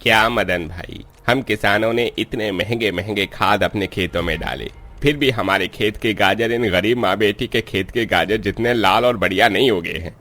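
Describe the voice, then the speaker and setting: Man voicing a deep-voiced village landlord. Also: normal speed, hardly any pauses, bright, forceful tone. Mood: envious. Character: stingy landlord and envious farmer in village. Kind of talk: Hindi cartoon dialogue.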